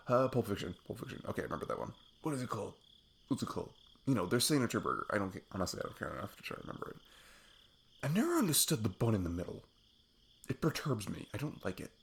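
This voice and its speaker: A man playing an affectionate, goofy best friend on a date in an intimate ASMR roleplay, rambling playfully in a husky, gravelly voice. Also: fairly low pitch. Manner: rambling, playful, self-deprecating chuckles. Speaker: audio roleplay performer. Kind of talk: intimate ASMR roleplay, improvised casual chat.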